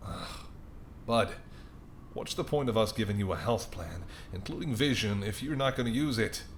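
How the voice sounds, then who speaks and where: A man's voice: lowish voice, normal speed, a little hiss or hum, close to an everyday voice. A man playing an apologetic, wry and courteous male mafia boss in an audio roleplay, speaking conversationally and sardonically straight to the listener. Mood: exasperated. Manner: conversational, sardonic, exasperated, softening into polite charm.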